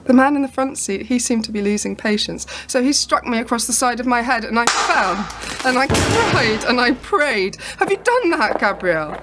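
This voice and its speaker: A woman playing a bitter, wary, traumatised spy in a radio play, speaking in halting, clipped phrases. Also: a little high, lively intonation, close to an everyday voice. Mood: anguished, intense. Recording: very noisy local radio play recording.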